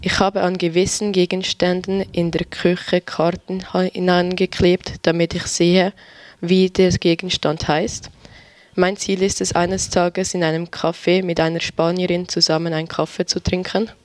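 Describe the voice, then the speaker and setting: Flat, even-voiced student presenter. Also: male voice, high-pitched, normal speed. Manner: steady, even, recited delivery. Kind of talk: classroom presentation, read aloud.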